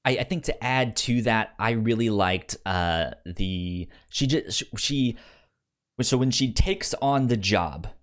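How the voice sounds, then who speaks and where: A man co-hosting a podcast, chatting casually about books in a halting way, often stammering and repeating words. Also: fairly low pitch. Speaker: podcast co-host. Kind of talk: casual book discussion.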